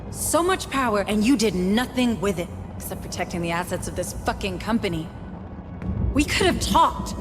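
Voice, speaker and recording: An actress playing a resolute, hard-edged space station administrator in a video game cutscene, speaking firmly and tersely. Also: female voice, on the low side. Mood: accusing. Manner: firm, terse, commanding. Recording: loud music behind.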